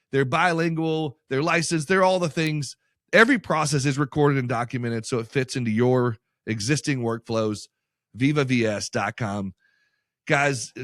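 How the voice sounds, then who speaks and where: A man's voice: mid-range voice, no echo. Man, podcast host, enthusiastic, rambling, dry close sound. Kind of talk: podcast interview and ad reads.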